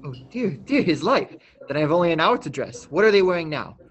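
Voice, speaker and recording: A man's voice: on the high side, very animated, brisk. A teenage boy playing an eager, fussy, naive old apprentice angel in a staged radio play. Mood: eager, flustered.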